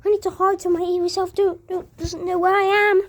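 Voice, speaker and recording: A woman as a shrill, frantic toy monkey. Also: level tone, a little fast, a little hiss or hum. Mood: fearful. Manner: wailing, stammering, panicky. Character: frantic, frightened toy monkey with evil inside him.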